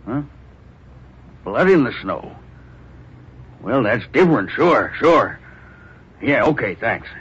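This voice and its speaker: A gruff police desk captain in an old radio play. He talks in blunt slang with a husky, mid-pitched voice on a dull vintage recording. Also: very animated, takes it slowly, pauses often, fairly bright tone, heavily acted. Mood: weary, curt. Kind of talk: 1940s radio drama acting.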